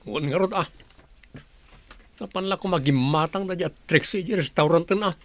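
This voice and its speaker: Actor as bossy, scornful higher-voiced man. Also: normal speed, dry recording, lightly acted. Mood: needling. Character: bossy, scornful male employer of the household. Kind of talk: Filipino radio drama acting.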